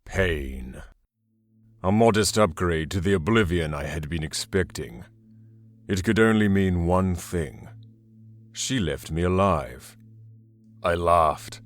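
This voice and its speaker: A man playing an ancient, arrogant demon warlord in an audio drama, measured and deliberate with dry, sardonic calculation. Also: low voice, faint music behind. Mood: pained, wry. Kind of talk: first-person audio drama monologue.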